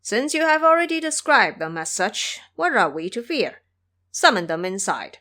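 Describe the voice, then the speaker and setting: A woman's voice: mid-range voice, very animated, normal speed, sharp tone. A woman playing an imperious, emotional king with a grand, formal manner in an audio drama. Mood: amused, commanding. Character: distraught, pleading, imperious king. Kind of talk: audio drama character acting.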